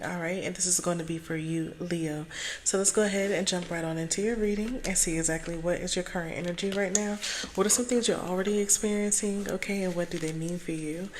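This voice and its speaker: Hoarse, calm woman reading tarot. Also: lowish voice, fairly quick, a little hiss or hum. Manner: calm, soft-spoken, chatty. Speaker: tarot reader.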